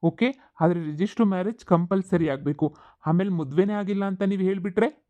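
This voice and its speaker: A woman playing a male swindler who poses as a Chinese groom and talks smooth and evasive. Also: fairly low pitch, brisk, warm tone, dull audio, close to an everyday voice. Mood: scheming. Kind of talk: comedy sketch acting.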